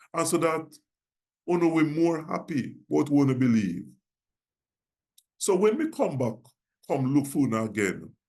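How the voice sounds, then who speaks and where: A man's voice: fairly high pitch, darkish tone. A reverend with a Jamaican accent, a man reading scripture aloud in Patois. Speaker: reverend, guest scripture reader.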